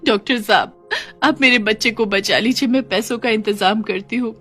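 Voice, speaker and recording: A woman's voice: a little high, normal speed, a bit bright, soft background music. A woman voicing a worried, devoted poor mother in a cartoon moral story. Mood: pleading.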